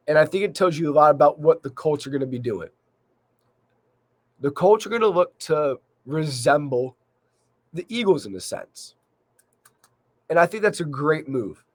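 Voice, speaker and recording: A man hosting a solo sports recap in a measured, conversational way with emphasis on key points, his voice slightly worn by a cold. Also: a little high, animated. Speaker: sports podcast host.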